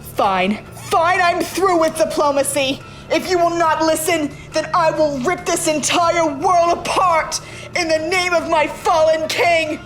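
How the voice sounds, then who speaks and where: A woman's voice: very high voice, unhurried, faint music behind, rough recording. A woman playing a determined, fierce warrior queen with a commanding manner in a superhero audio drama. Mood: vengeful fury.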